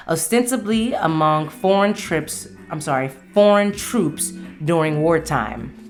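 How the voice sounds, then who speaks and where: An American woman hosting a solo podcast, speaking in a blunt, emphatic, slangy way. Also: low-pitched. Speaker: podcast host. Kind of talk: casual solo podcast monologue.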